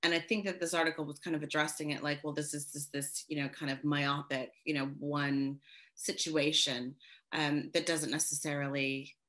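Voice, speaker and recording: A woman's voice: sits low, flat delivery, moderate pace, a bit bright. A woman hosting an interview, talking casually with frequent fillers. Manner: casual, frequent "you know" and "um". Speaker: podcast host. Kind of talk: interview conversation.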